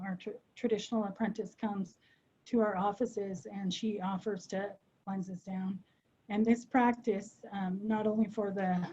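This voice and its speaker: A woman gives an earnest webinar presentation over a video call. Her voice is mellow and a little husky, and she leans on frequent "um" fillers as she works through her points. The call audio is dull and muffled. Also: medium pitch. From United States. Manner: earnest, many "um" fillers, thinks aloud. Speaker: behavioral health clinician presenting.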